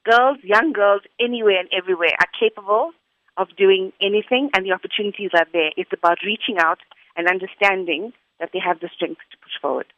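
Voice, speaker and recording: Woman, 40s, articulate, steady, dull sound. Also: medium pitch, fairly quick, a little hiss or hum. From South Africa. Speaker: interview guest, school academic director. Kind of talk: interview answers.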